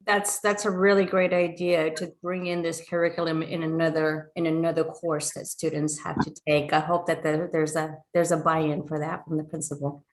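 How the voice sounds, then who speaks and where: A woman with a somewhat low voice puts a long question to a presenter on a video call. She circles through her point, leaning on "you know" as she goes. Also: flat delivery, normal speed, hardly any pauses, poor audio. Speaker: panel questioner.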